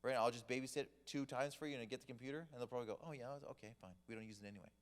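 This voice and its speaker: A man's voice: medium pitch, racing. A man giving a casual, joking sermon, telling stories to the congregation. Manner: chatty, joking storyteller. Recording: long reverb of a hall.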